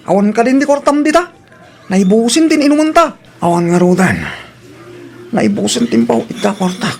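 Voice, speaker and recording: A man with a Filipino accent playing a wayward, wheedling young student son with a creaky voice in an audio drama. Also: very high voice, big pitch swings, normal speed, exaggerated voice. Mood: rowdy, scheming. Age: young adult. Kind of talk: Ilocano radio-style drama acting.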